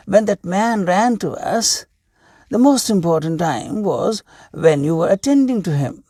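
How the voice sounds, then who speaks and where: A man voicing a frail old wise hermit from a storybook, patient and earnest, teaching slowly and emphatically in a thin voice. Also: high-pitched, creaky, a little mellow, slightly rough, dry recording, studio quality, voice pushed up, full character voice.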